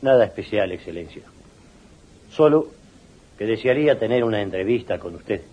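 Radio-play captain: fairly low, respectful, formal, muffled old recording. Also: male voice, lively intonation, normal speed, husky. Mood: dutiful, formal. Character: dutiful, deferential male army captain. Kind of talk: 1980s radio drama acting.

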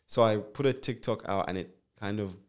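A young man from Zambia, a nurse and musician, chatting in a relaxed, rambling way as an interview guest, with lots of fillers and restarts. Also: on the low side, normal speed, smooth. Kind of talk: casual interview chat.

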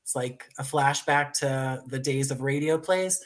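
A man's voice: medium pitch, a little slow. An American man speaking conversationally as an interview guest, effusive and thankful with playful wording. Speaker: guest, audio drama writer and producer.

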